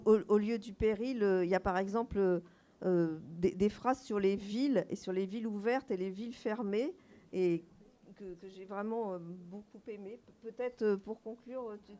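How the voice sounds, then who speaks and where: A woman's voice: mid-range voice, moderate pace, dark tone. A woman moderating a public talk at a festival, informal and repeating words as she goes. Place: festival meeting room with audience. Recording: noisy room recording.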